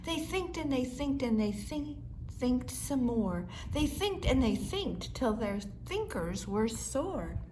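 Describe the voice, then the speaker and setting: A woman reading a rhyming children's story aloud with measured, expressive storytelling. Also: sits high, slight background noise. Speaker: storybook reader.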